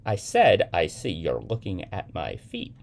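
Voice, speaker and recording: A man reads as a playful, odd young man in a literary story. He speaks with mock gravity and animated pitch, in a low, creaky voice. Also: measured pace, full character voice. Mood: irritable. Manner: whimsical, mock-serious storytelling. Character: playful, eccentric young man teasing a child, edgy with adults.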